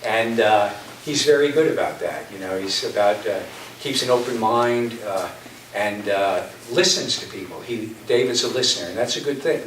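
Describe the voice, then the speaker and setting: A man giving an informal, anecdotal introduction as a theater director, halting and pausing often. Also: on the low side, fairly bright tone. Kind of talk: informal live introduction speech. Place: community center stage. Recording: echoey hall, distant mic, background noise.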